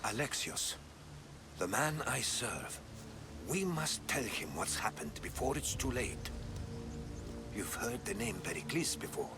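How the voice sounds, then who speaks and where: A man's voice: mid-range voice, sing-song, over music. Earnest, learned ancient Greek man. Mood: urgent, stern. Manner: grave, measured, storytelling delivery. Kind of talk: dramatic video game acting.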